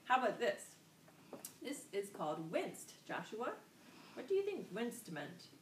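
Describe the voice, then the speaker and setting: A woman's voice: on the high side, wildly swooping pitch, a little slow. A woman teacher speaks in a roomy classroom, some distance from the microphone. She instructs young children, repeating key words and putting questions to them. Faint noise sits behind her voice. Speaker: elementary school teacher. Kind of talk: interactive classroom vocabulary lesson for young children.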